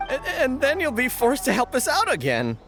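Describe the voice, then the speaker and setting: An actor playing a cowardly, excitable young gang underling in a video game, nervous and stammering. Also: high-pitched, normal speed, full character voice. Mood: sheepish. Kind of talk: animated video game voice acting. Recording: music behind the voice. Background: game music.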